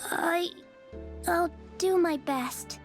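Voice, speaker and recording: A shy young game girl with a very high, small voice. She speaks slowly and hesitantly, meek and grateful, over faint music. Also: exaggerated voice. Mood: timid, grateful. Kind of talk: video game voice acting.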